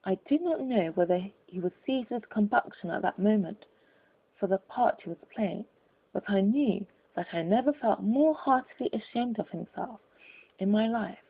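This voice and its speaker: Casting: female audiobook narrator, medium pitch, husky tone, measured formal delivery of classic fiction, narrow telephone-like recording. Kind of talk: scripted literary narration.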